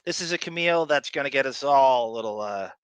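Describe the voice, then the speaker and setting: A male podcast host with a bright, forceful voice in a dry, close sound. He chats casually and rambles, doubling back on phrases and hopping between asides. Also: mid-range voice, animated, fast, clear, resonant tone, dry recording. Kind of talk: casual live chat show.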